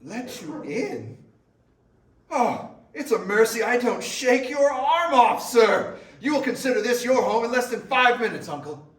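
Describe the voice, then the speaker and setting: A man playing a hearty, exuberant young gentleman in a readers theater performance. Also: extremely high pitch, big pitch swings, measured pace, full character voice. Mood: overjoyed. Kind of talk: readers theater stage reading. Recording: echoey room, distant mic.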